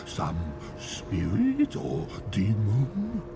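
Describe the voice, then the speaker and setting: A cunning, brooding warlord villain from a fantasy game. The low, gravelly delivery is theatrical and swings dramatically in pitch. Loud music plays behind him. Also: male voice, huge pitch swings, drawn-out words, exaggerated voice. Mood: bewildered, brooding. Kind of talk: video game cutscene acting.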